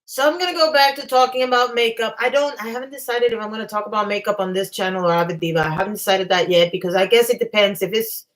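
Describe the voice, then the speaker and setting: A woman hosting a casual livestream while losing her voice, rambling and often clearing her throat. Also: mid-range voice, fast talker, hardly any pauses. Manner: chatty, reads viewer comments aloud. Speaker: livestream host.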